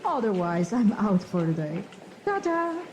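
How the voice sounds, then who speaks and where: An actress plays a breezy, affected female doctor in an audio drama. She sounds lively and a bit off-mic, and clear background noise sits behind her. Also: medium pitch, measured pace.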